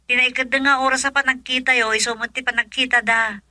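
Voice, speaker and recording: A woman's voice: high voice, little pitch movement, quick pace, bright, forceful tone, no room sound, studio quality. A woman with an Ilocano accent playing a worried young wife in an emotional radio drama. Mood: anxious, pleading. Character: worried young wife pleading with husband and father.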